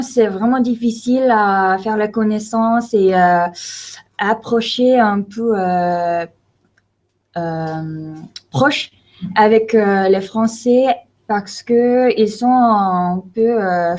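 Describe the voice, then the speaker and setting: A woman's voice: mid-range voice, darkish tone. A woman in her twenties whose first language is Korean, a university student speaking French in a casual video message. She talks hesitantly, with many fillers, searching for words.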